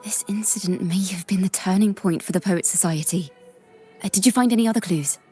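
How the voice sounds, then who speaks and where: Friendly game bard lady, mid pitch, poised n thoughtful, music low behind. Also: a little fast, mellow, slightly rough. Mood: thoughtful, teasing. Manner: articulate, thoughtful. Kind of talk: video game voice acting.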